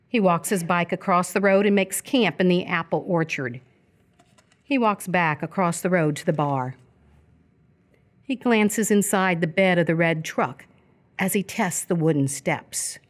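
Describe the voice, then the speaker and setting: A woman reading scripted narration for live radio theatre in a measured, descriptive way. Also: fairly low pitch.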